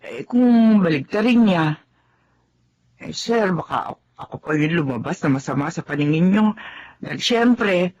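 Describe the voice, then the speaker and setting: A woman's voice: lowish voice, animated, measured pace, pauses often, darkish tone. A woman playing a meek, put-upon housemaid and mother who talks in an anxious, pleading way, in a radio drama. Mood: worried. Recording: dull lo-fi sound.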